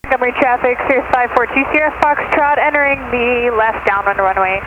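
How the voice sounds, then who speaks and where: A woman's voice: on the high side, steady tone, brisk, dull audio, background noise. A woman pilot giving procedural position reports over an aviation radio. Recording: aviation radio under loud electronic music.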